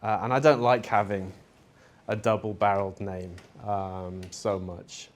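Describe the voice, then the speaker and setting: A man's voice: fairly low pitch, fairly even intonation, unhurried, slight background noise. Chatty middle-aged man giving a talk. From Spain. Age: 40s. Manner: casual and chatty, with fillers and asides. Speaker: conference presenter. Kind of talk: conference talk. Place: conference venue.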